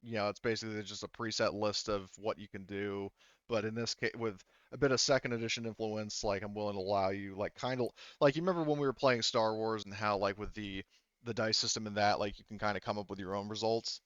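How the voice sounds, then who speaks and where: A man with a smooth, fairly low voice runs a tabletop roleplaying game. He explains things informally, often stopping to rephrase. The sound is close and dry, a little lo-fi. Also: steady tone, quick pace, a bit bright, no echo. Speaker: game master.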